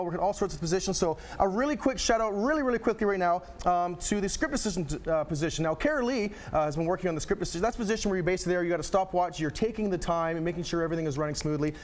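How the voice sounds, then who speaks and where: Fast-talking, high-pitched man hosting. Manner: jokey, punning, chatty. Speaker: TV show host. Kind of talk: casual unscripted TV presenting.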